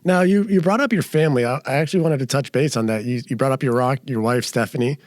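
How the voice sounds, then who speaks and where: A man's voice: mid-range voice, fast, no echo, studio quality. A man hosting a casual interview, asking friendly questions with some hesitation. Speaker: host.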